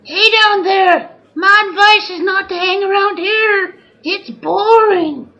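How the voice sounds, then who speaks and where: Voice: very high voice, slow, pushed and bright, dull audio, exaggerated voice. An actor voicing a childish, rude talking monkey sidekick who whines and butts in bluntly, in comic radio-drama style. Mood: bratty shouting. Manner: blunt, whiny, interrupting. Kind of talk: comic old-time radio drama acting.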